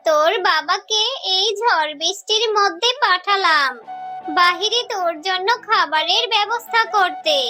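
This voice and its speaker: Very high-pitched anxious fox mother played by a woman. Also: sharp tone, full character voice. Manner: pleading, fretful. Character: anxious, kind-hearted young fox mother and wife. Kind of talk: Bengali children's cartoon voice acting.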